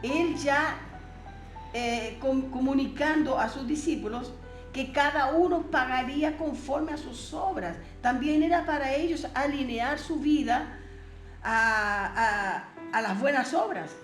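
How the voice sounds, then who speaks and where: A woman teaches a Bible seminar in a slightly high voice, reading verses and pressing the listener with rhetorical questions. Her first language is Portuguese. The room is echoey and music plays clearly behind her. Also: slow pace. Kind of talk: recorded Bible seminar lesson.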